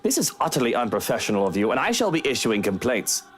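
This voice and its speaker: A haughty, wealthy master of the house from a visual novel, acted out by a woman. The delivery is curt and formal, swooping widely in pitch with indignant authority. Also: sing-song, fast, slight background noise. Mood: irritated, haughty. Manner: formal, imperious, clipped. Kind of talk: visual novel character read-aloud.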